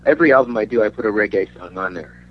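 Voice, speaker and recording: A man from Denmark giving a casual interview as a musician, talking in a halting, rambling way. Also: fairly low pitch, lively intonation. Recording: dull lo-fi audio with faint hum.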